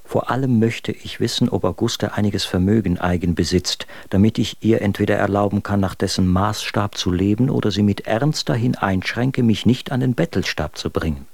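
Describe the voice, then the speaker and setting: A world-weary, bitter husband in a radio play, with a low voice. He reads his letters in measured, literary phrases, and the sound is dry and close. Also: gravelly, a little mellow, dry recording. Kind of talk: radio drama reading of letters.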